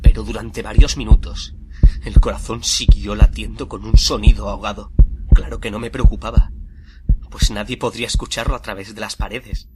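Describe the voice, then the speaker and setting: Man playing a low-voiced, obsessive murderous madman. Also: steady tone, close to an everyday voice. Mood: frenzied, agitated. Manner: theatrical, deliberate, swelling from hushed to frenzied. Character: nervous, obsessive, murderous madman confessing his crime. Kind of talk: dramatic radio fiction monologue. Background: music under the voice.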